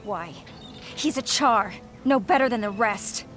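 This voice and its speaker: A woman's voice: on the high side, animated, a little slow, fairly bright tone, faint music behind. A woman playing a fierce, bitter young human soldier in a fantasy game, intense and clipped. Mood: vengeful.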